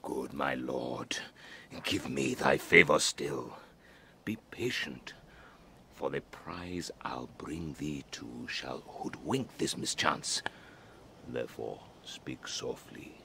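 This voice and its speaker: An actor playing a servile, scheming, resentful monster servant in a Shakespearean audio drama, gravelly and urgent. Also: male voice, rumbling low, slow pace, sharp tone, a little hiss or hum, heavily acted. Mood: hushed, coaxing.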